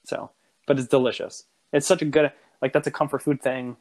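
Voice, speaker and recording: A man's voice: mid-range voice, flat delivery, brisk, a little mellow. A man co-hosting a podcast, chatting casually in an enthusiastic, rambling way that often restarts and corrects itself. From United States. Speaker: podcast co-host. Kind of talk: casual chat.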